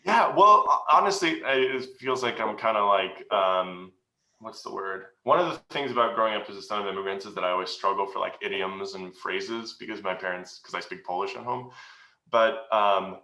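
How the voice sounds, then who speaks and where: A man answering questions as a guest at a meeting, speaking in an earnest, reflective way with many pauses and restarts. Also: fairly low pitch, animated, pushed and bright. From United States. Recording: roomy echo.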